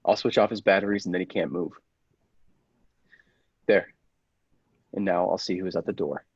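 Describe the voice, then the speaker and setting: A man's voice: low-pitched, moderate pace, husky, no echo, dull audio. A man playing a persuasive, controlling scientist in an old-style radio play, with a commanding, insistent manner. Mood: tense. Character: persuasive, controlling male laboratory scientist with dangerous ambitions.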